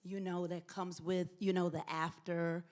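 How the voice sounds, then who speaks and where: A woman's voice: fairly low pitch, little pitch movement, a little slow, a little mellow. Emphatic woman giving a casual testimonial. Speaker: church member giving a testimonial.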